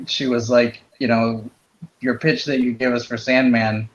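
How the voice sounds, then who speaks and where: A man's voice: mid-range voice, flat delivery, slight background noise. Man chatting in an echoey, muffled recording. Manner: tentative, halting explanations. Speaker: panelist on a comics drawing show. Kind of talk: casual chat about comics history.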